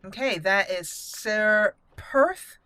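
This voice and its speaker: A woman hosting a game playthrough, roleplaying aloud with theatrical, deliberate phrasing. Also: medium pitch, sharp tone, clear, resonant tone, dry recording. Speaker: gaming channel host.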